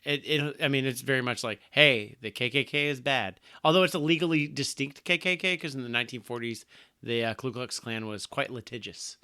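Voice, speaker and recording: A man's voice: medium pitch, steady tone, a little fast, pushed and bright, clear, resonant tone. A man co-hosting a comics podcast, talking casually with rambling, wry asides. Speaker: podcast co-host. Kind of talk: casual chat.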